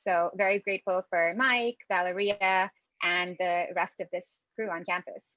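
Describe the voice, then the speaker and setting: A woman's voice: on the low side, fairly even intonation, moderate pace, no room sound, muffled recording. A young woman from Pakistan, a student club co-president, speaking thoughtfully and articulately on an online panel. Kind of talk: conversational panel talk.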